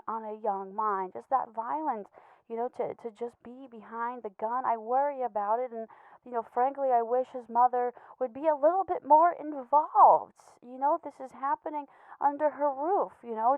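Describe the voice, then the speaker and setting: A woman playing an anxious, earnest pet betta fish in a comedy voicemail monologue, stammering and hesitant, gently judgmental and apologetic. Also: on the high side, dry recording, lightly acted. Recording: muffled, tinny phone-like voicemail sound.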